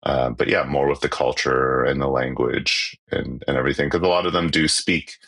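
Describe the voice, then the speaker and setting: A Canadian musician, a native Cantonese speaker, talking casually in an interview with many fillers and restarts. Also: male voice, extremely low pitch, fairly even intonation, moderate pace, gravelly, a bit bright, slightly rough.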